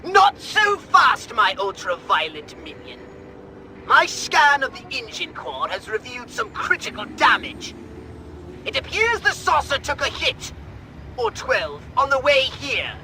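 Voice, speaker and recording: A man playing a pompous, high-strung alien mastermind in a video game cutscene, theatrical and wordy. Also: huge pitch swings, measured pace, bright, forceful tone, a little hiss or hum, heavily acted. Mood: haughty, scolding.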